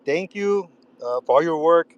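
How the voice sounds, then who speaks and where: An eager man in his twenties calls in to a live audio chat, talking fast and slangy. His voice sits a little high, and a faint vehicle hum runs behind him. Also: lively intonation, dull audio. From United States. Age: 20s. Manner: eager, slangy, says bro a lot. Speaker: caller. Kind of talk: casual chat.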